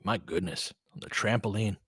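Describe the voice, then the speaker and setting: A man doing casual live basketball play-by-play as a fan analyst, in clipped phrases, swinging between muttered asides and excited calls. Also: on the low side, moderate pace, slightly rough, no echo, clean studio sound.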